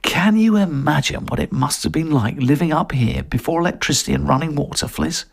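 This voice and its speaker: Man acting a husky, enthusiastic walker. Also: a little high, lively intonation, a little fast. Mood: musing. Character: middle-aged man, enthusiastic countryside walker.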